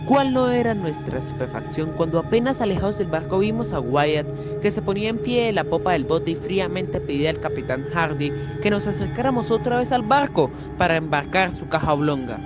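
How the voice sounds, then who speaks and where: A man's voice: a little high, very animated, a little fast. A young man reading a scripted, dramatic story as narrator. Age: young adult. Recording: music playing clearly behind the voice.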